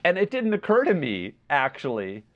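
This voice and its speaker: A man co-hosting a podcast in a casual film discussion, talking in a halting, wordy way as he thinks aloud. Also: on the high side, wildly swooping pitch, muffled recording. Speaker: podcast co-host.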